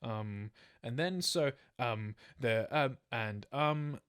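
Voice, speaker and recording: A man's voice: mid-range voice, slow pace, fairly bright tone, smooth. A man with an Australian accent banters casually as a podcast co-host, informal and prone to swearing.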